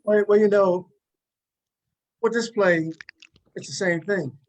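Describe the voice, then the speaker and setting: Man, high-pitched voice, talk show host, casual, halting, repeated words. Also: fairly even intonation. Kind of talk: casual interview hosting.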